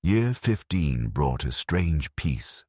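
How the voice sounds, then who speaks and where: A man narrating a dark first-person story in a grave, measured, brooding way. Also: extremely low pitch, very animated, husky, no room sound, studio quality.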